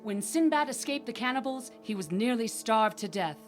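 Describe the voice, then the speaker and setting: An actress playing a female storyteller, narrating adventure tales for a radio play in a measured, theatrical way. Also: fairly high pitch, expressive, over loud music, natural voice.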